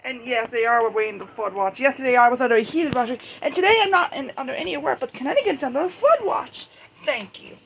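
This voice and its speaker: Hobbyist vlogger with a high-pitched, gravelly-edged voice; informal, meandering, stop-start delivery, correcting along the way. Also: animated, a bit bright. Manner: rambling, halting, self-correcting. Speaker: hobbyist video creator. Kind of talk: casual unscripted show-and-tell of weather radios. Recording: noisy amateur home recording.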